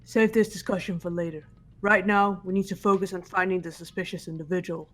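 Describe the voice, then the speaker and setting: Protective young warrior type, voiced by a man, unusually high voice, serious and commanding, game dub. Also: steady tone, brisk, fairly bright tone. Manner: earnest, commanding. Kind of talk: amateur game voice acting.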